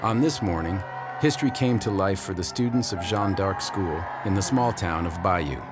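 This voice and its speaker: A man with a low, deep voice reads documentary narration in a measured, earnest way. Music plays clearly behind him. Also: over a noisy crowd. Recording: voice-over with loud music behind.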